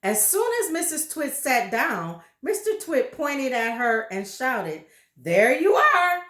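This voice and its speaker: Slow, expressive woman reading a children's book. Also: a little high, reverberant. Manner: expressive, pauses to chat about pictures. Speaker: reader. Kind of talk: read-aloud storytelling for children.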